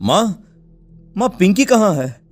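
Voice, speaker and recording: A woman's voice: mid-range voice, big pitch swings, takes it slowly, a little mellow, soft background music. Woman voicing an anguished village father. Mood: panicked. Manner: pleading, lamenting. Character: anguished village father searching for his lost daughter.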